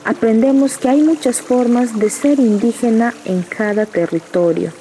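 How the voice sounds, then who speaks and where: A woman reflects calmly on community. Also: fairly high pitch, normal speed, slight background noise, rough recording.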